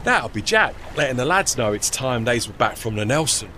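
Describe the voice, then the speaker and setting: Man playing a chirpy, animated working tugboat. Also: mid-range voice, moderate pace, slight background noise. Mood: easygoing. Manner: colloquial, matey working-class speech. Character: chirpy, plain-spoken working steam tugboat, deferential but cheeky. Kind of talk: audio play acting.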